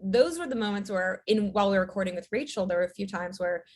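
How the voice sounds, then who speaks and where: A woman's voice: mid-range voice, lively intonation, fast. A young adult woman, a writer, talking casually as a podcast guest, chatty with lots of fillers and laughs. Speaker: audio drama writer, guest.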